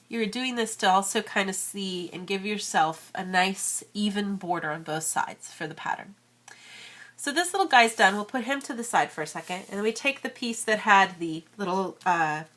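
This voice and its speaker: A woman's voice: mid-range voice, bright, forceful tone. A woman in her thirties with a cold, chatting casually as she walks through an origami tutorial. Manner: chatty, friendly, many fillers. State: has a cold. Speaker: origami crafter and channel host.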